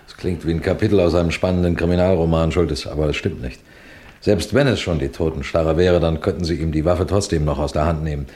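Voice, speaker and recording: Ein Schauspieler spielt in einem Krimihörspiel einen scharfsinnigen, befehlsgewohnten Kriminalrat, der bedächtig fragt und anordnet. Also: male voice, a bass voice, steady tone, a little mellow. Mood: stern, explaining. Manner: commanding, measured, questioning. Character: authoritative, shrewd police detective superintendent, first-person narrator. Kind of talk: German radio crime play acting.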